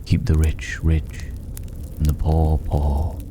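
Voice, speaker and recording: Man acting a very deep, cultured, mysterious stranger. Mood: probing, disdainful. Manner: measured, pausing, philosophical, lapsing into breathy whispers. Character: mysterious, cultured, solitary male stranger living among dragon's hoard. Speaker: ASMR roleplay voice performer. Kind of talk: ASMR fantasy roleplay. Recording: clear steady background noise.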